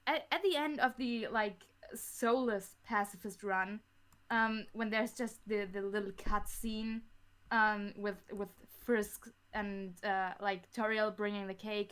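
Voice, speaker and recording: A woman chatting casually as a podcast guest about their writing, halting and giggly as they go. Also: mid-range voice, fairly bright tone, smooth. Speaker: guest writer of a fan audio drama.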